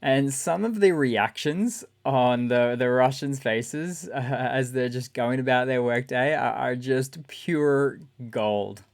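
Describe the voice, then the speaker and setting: A man with a raspy voice hosting a casual, sarcastic news commentary. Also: mid-range voice, takes it slowly, few pauses. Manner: sarcastic, chatty. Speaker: channel host.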